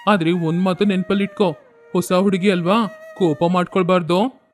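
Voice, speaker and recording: Guy doing middle aged village husband, kindly n coaxing, highish voice, music behind. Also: fairly even intonation, normal speed, lightly acted. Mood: placating. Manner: coaxing, advising.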